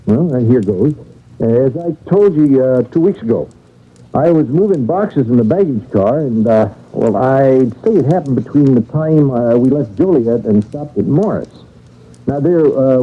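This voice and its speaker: A man's voice: mid-range voice, lively intonation, moderate pace, husky, narrow, tinny audio. Nervous railwayman on thin old radio. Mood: flustered, defensive. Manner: hesitant, stammering, uneasy, eager to please. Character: nervous, evasive male railway baggage master under suspicion. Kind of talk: old-time radio drama acting.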